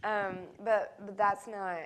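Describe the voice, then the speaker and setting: A woman musician in an interview, speaking earnestly and reflectively with many ums and pauses. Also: mid-range voice, level tone, fairly bright tone.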